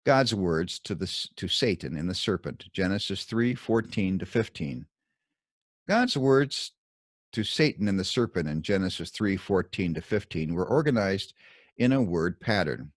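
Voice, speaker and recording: A man with a fairly low voice narrates scripted religious commentary in the manner of an audiobook. His pitch swings widely as he stresses key words, and his phrasing is deliberate and dramatic, with pointed pauses. The sound is close and dry. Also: lively intonation, no echo. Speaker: author reading his own commentary.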